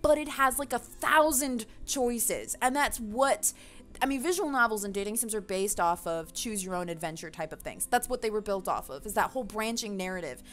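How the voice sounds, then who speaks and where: A woman's voice: medium pitch, expressive, moderate pace. A woman giving a casual, opinionated rant to viewers, passionate and emphatic, with loose swearing, as a gaming video creator.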